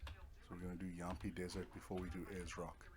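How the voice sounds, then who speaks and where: A man's voice: deep voice, monotone, fairly quick. A man giving casual let's play commentary as a gaming video host, laid-back and muttering asides to himself.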